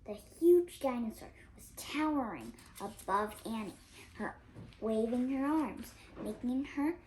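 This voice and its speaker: A young girl of about eight reads a children's story aloud in a high voice that swings up and down. She goes slowly and carefully, sometimes halting over words. There is clear noise in the home recording. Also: darkish tone, low-quality recording. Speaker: young reader. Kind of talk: expressive storybook read-aloud.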